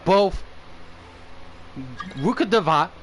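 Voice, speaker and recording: A man doing casual livestream commentary on a game, halting as he stumbles over names and repeats words. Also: sits high, lively intonation. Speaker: game streamer. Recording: slight background noise on stream.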